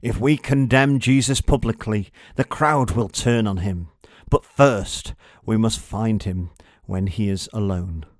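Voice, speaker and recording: A man voicing a scheming, haughty high priest in a children's storybook, imperious and scornful. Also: measured pace, dry recording, clean studio sound, lightly acted.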